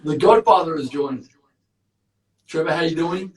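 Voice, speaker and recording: Big-voiced host in echoey room. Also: male voice, fairly high pitch, unhurried. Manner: loud, big voice, chatty and upbeat. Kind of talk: casual live-stream interview. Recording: echoey room, distant mic.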